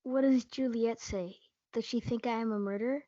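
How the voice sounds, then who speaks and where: A passionate, hot-blooded young lover played by a young woman in a stage play reading. The voice is fairly high and close, with little room sound, on a dull, lo-fi recording. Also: fairly even intonation, normal speed, warm tone, no room sound, close to an everyday voice. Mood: despairing. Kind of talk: student readers theatre performance. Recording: muffled lo-fi home recording.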